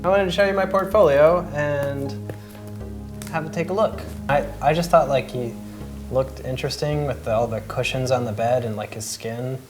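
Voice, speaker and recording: Very low-voiced woman chatting casually. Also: moderate pace. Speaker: host and photographer. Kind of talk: casual chat. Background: faint music.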